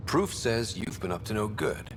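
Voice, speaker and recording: Guy voicing a young space explorer dude in a game, casual n direct, pitch moves a lot, noisy background. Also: lively intonation, normal speed, lightly acted. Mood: confrontational. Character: determined young male space explorer investigating sabotage. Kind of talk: video game voice acting.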